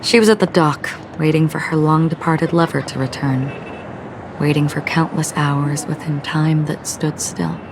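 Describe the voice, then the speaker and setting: An actress voicing a calm, forgetful young swordswoman in a game, speaking in a measured, solemn way over game music. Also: noisy background. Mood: reflective. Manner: measured, low, solemn delivery. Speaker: wandering swordswoman. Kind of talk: game voice acting. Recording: game audio with clear music behind.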